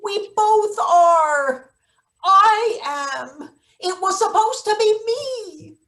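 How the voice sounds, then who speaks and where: An actress playing a bitter, paranoid, scheming maintenance worker in a play reading, halting and sardonic. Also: female voice, extremely high pitch, expressive, a bit bright, exaggerated voice. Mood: anguished confession. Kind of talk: live table read of a satirical stage play.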